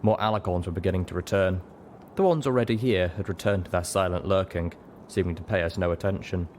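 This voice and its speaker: A man's voice: low-pitched, fast, a little mellow, a little hiss or hum. A man reading first-person fiction aloud as scripted audiobook narration. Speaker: audiobook reader.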